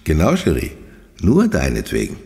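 A man's voice: extremely low pitch, wildly swooping pitch, moderate pace, vocal fry, long reverb tail, loud music behind the voice, full character voice. An actor playing a suave professor who affectionately teases his girlfriend in an audio drama. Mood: playful, soothing.

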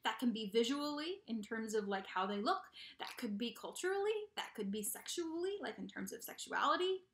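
Young female vlogger, bright and bubbly, casual announcement to camera, recorded in an echoey bedroom. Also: on the high side, normal speed. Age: young adult. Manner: bubbly, animated, playful. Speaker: YouTube host of a book channel. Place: small bedroom indoors. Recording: echoey untreated room.

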